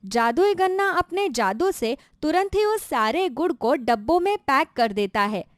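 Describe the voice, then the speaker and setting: High-pitched, lively woman storyteller. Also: unhurried, no echo, studio quality. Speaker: storyteller, narrator. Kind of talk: scripted narration of a children's moral story.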